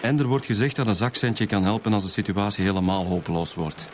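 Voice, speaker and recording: Man acting low-pitched, wry Flemish detective. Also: fast talker, slight background noise, close to an everyday voice. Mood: informative. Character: matter-of-fact male detective, wryly teasing. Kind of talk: radio police drama acting.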